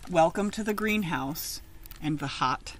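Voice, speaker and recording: A middle-aged woman reads a children's picture book aloud outdoors, with expressive, emphatic, sing-song phrasing. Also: lowish voice, a little slow, slight background noise. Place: outdoors in a leafy garden.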